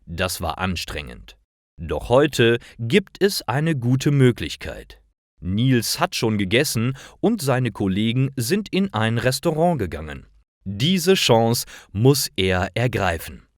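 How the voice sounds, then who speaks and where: Guy narrating little stories for learners, real slow, pitch goes up and down a lot, dry close sound. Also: big pitch swings, dry recording. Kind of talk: scripted narration, slow storytelling for language learners.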